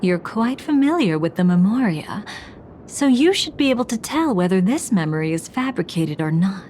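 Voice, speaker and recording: Woman acting lively, knowing game character. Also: medium pitch, normal speed, slight background noise, lightly acted. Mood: pointed.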